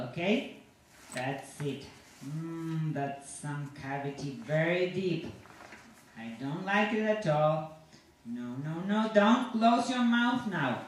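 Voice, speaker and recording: A woman voicing a chatty, grumbling, blithely menacing dentist in rambling, hesitant English, as a story monologue. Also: low-pitched. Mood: soothing, fussy. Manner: rambling, falsely reassuring, hesitant non-native English. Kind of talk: dramatic monologue read from a short story. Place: large indoor venue at a university. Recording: echoey, distant, noisy live room.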